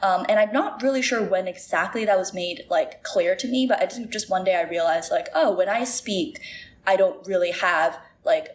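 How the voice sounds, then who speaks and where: A woman in her twenties talks easily as an interview guest, from Singapore by way of Shanghai, her sentences strung together with "like" and "um". Her voice sits at an ordinary middle pitch. A faint hiss of background noise runs under the recording. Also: moderate pace. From Singapore, grew up in Shanghai. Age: 20s. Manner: chatty, many "like" and "um" fillers. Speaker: guest, university student and video creator. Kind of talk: casual interview chat.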